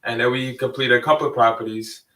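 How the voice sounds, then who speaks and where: A young man from Suriname, a guest on a talk show, giving brief, low-key answers. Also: steady tone, quick pace, bright, forceful tone. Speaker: guest, investor and former coach. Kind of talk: casual interview chat. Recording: distant, roomy remote call audio.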